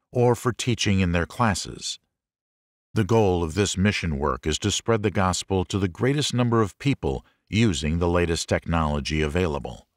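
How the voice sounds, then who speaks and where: A man reading a religious audiobook as a scripted narration, in a measured, clearly enunciated manner. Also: deep voice, dry recording, studio quality.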